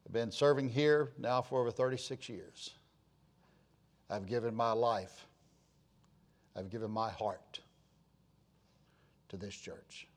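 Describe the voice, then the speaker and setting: Man, 60s, pastor; unhurried, measured sermon delivery with repeated lines and loud emphatic swells. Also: medium pitch. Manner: slow, repetitive preaching with rising emphatic swells.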